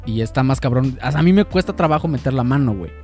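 A man's voice: mid-range voice, expressive, quick pace, a little mellow, faint music behind. A young man with a Mexican Spanish accent, co-hosting a podcast in casual chat, slangy and swearing freely with constant filler words. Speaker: podcast co-host.

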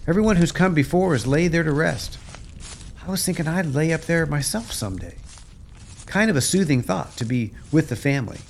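A man acts a plainspoken American rancher for a radio drama. His fairly high voice moves up and down a lot, with folksy, nostalgic phrasing. Faint noise sits behind it. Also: expressive, fairly quick. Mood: wistful. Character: wistful male rancher, nostalgic and bewildered. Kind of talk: radio play acting.

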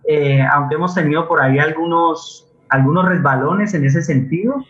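A man with a Colombian accent talking off the cuff as a guest in a forum, pausing to search for words. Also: a little high, a bit bright. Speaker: guest playwright and community theatre leader. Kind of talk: unscripted forum talk about theatre projects. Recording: dull, roomy internet call audio.

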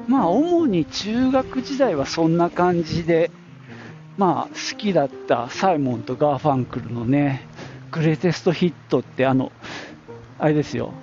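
A man's voice: a little high, expressive, moderate pace, darkish tone. A middle-aged or older man chatting casually on his own as a podcast host, reminiscing in a reflective way and thinking aloud. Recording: dull lo-fi audio, prominent music. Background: music.

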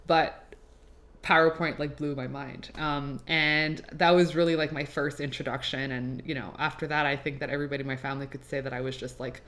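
A woman's voice: low-pitched, fairly even intonation, fairly quick, fairly bright tone. A woman in her late twenties from Quebec with a Canadian accent and French as her first language, hosting a podcast in a casual, chatty, extroverted monologue peppered with "like". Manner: chatty, extroverted, filler-heavy with "like". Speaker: podcast host. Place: apartment.

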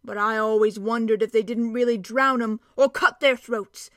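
A woman voicing a cheerful, stocky male dwarf with a hearty, emphatic, drawn-out way of talking. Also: a little high, steady tone, no echo, natural voice.

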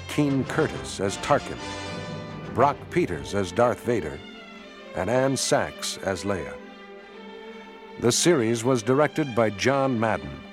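Casting: a man as formal radio drama announcer, deep and solemn, reading over prominent music. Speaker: narrator and credits announcer. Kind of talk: dramatic scripted radio narration. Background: orchestral music.

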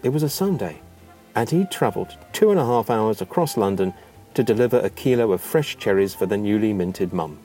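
Low, mellow male storyteller. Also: animated, brisk, faint music behind. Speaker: narrator reading a memoir. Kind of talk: scripted narration, storytelling.

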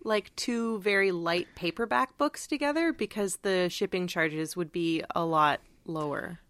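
A woman's voice: fairly low pitch, unhurried, a bit bright, no room sound. A woman co-hosting a podcast, speaking casually and thoughtfully, feeling out her phrasing as she discusses. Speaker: podcast co-host. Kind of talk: casual conversational literary discussion.

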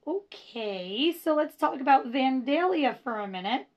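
A woman hosts her own history podcast in a loose, chatty way, wandering into self-deprecating asides. Her voice sits a bit high and is going hoarse. The recording sounds dull and lo-fi. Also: expressive, a little slow. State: losing her voice. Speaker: podcast host.

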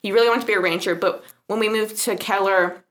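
A woman's voice: mid-range voice, steady tone, fast talker, slight background noise. Young woman from South Africa, American accent, chatty storyteller guest; laughs easily. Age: young adult. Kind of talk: casual podcast chat.

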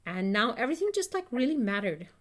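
A woman's voice: a little high, big pitch swings, quick pace. A woman in her fifties chatting in a reflective, easy way, laughing now and then as she talks. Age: 50s. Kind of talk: casual reflective chat.

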